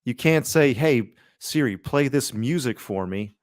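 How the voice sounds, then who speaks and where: A man's voice: medium pitch, lively intonation, a little slow, dry recording, studio quality. A man co-hosting a podcast in casual chat, with a slangy, sweary, conversational way of talking. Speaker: podcast co-host.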